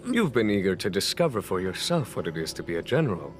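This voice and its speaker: Low-voiced, measured army general. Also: male voice, sing-song. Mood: measured, wry. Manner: measured, formal, courteous. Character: calm, wry military general of a spacefaring fleet. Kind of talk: scripted video game dialogue. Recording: background music under the voice.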